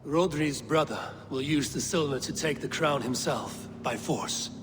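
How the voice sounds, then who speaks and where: Man playing a measured, resolute Viking leader. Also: a little high, over music, natural voice. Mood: grim. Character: steady, pragmatic Viking clan leader. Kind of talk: dramatic video game acting. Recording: reverberant hall, faint noise.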